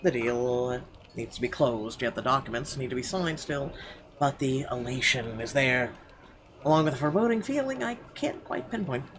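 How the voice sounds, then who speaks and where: A man's voice: medium pitch, expressive, moderate pace, fairly bright tone. A man doing a let's play, reading narration aloud with some stumbles and throwing in sarcastic asides. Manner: sarcastic asides, stumbling over read text.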